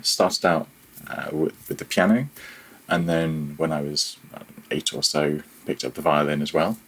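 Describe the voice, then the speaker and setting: A man with an exceptionally deep voice speaks as a guest in an interview. He talks casually, in a halting way, feeling his way through each answer. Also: breathy edge. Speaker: interview guest, audio developer.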